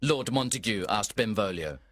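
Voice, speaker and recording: A man narrating a storybook for learners from a script, in a measured, deliberate way. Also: fairly low pitch, animated, fairly bright tone.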